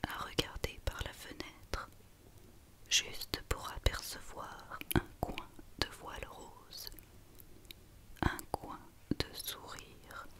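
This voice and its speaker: A woman reads a children's story entirely in a whisper, in ASMR style. Her delivery is very slow, gentle and soothing, with a breathy, bright edge to every word.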